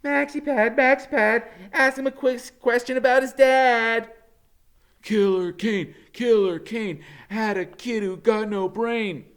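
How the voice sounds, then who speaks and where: Man doing taunting schoolkids, high and loud, sing-song, bright. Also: steady tone, takes it slowly, clean tone, exaggerated voice. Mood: mocking. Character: jeering crowd of cruel schoolkids.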